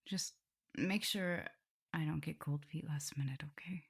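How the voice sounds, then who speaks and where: Female vampire mistress, acted by a woman, husky low voice, unhurried delivery, intimate ASMR roleplay, affectionate, gently teasing. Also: full character voice. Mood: tender, apologetic.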